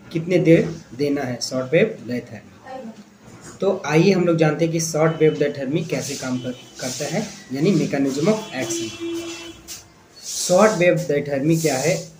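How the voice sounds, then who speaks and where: Indian guy teaching a lesson in an echoey room w noise behind him, keeps asking then answering his own questions. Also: a little high. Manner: explains step by step with rhetorical questions and repetition. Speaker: physiotherapy instructor and channel host. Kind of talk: informal spoken lecture to viewers.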